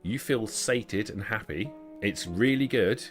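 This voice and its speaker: A man's voice: on the low side, measured pace, faint music behind. A man as game master, narrating and explaining a tabletop roleplaying game in an explanatory, improvised way.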